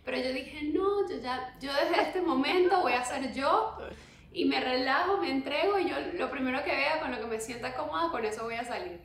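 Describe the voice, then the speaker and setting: A young Venezuelan woman in her twenties, a guest talking calmly over a video call from home. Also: high-pitched, moderate pace, roomy sound, slight background noise. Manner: calm, soft-spoken. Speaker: guest, pageant queen. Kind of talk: casual interview. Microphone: earphone mic on video call.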